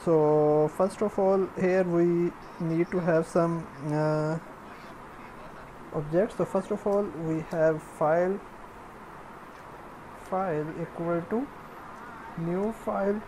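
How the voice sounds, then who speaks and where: A woman with a fairly low voice presents a programming screencast at a very slow pace. She speaks in short, halting pieces, walking through each step. Faint noise sits behind her. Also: steady tone, mellow, low-quality recording. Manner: halting, piecemeal phrasing while typing. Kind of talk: step-by-step screencast narration of coding.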